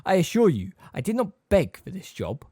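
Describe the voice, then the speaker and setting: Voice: mid-range voice, huge pitch swings, warm tone, no room sound, studio quality, pitched above the performer's own voice. A narrator doing a sneering, silky male schoolmaster. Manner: sarcastic, smooth, measured, stressing words delicately. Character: sneering, silky, waspish middle-aged male teacher.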